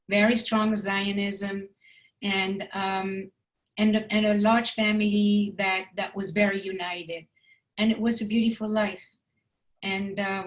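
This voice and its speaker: An older woman from Egypt whose mother tongue is French, telling her family history in an interview, reflective and articulate, often pausing to correct herself. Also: medium pitch, low-quality recording. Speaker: interview guest. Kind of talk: personal family history storytelling.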